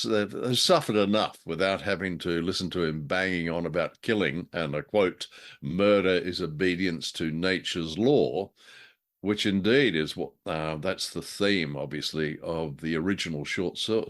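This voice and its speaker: A man's voice: deep voice, measured pace. A man co-hosting a show in casual, bantering chat, with a wry, hesitant and understated way of talking. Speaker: co-host of a show reviewing old radio dramas.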